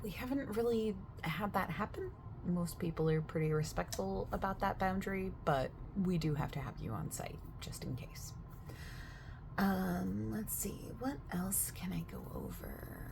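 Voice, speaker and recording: Soft-spoken woman with a gravelly low voice. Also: sing-song, a little slow, noisy background. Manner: soft-spoken, slipping into whispers mid-sentence; chatty, overexplaining. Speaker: gym staff member in a roleplay. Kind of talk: soft-spoken ASMR sales roleplay.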